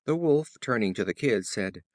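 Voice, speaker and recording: A man reading children's fables as an audiobook narrator, in a measured, formal storybook style. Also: lowish voice, expressive, no echo, clean studio sound.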